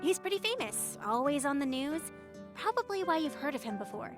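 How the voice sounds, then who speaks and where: Game drama role: young female analyst colleague, high-pitched, helpful, informative, over music. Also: sing-song, brisk. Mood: upbeat, informative. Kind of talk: scripted game voice acting.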